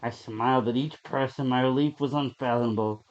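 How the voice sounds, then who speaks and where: A man's voice: mid-range voice, fairly even intonation, brisk. Man narrating horror, dull lo-fi recording. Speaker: story reader. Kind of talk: dramatic horror story narration. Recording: dull, lo-fi sound.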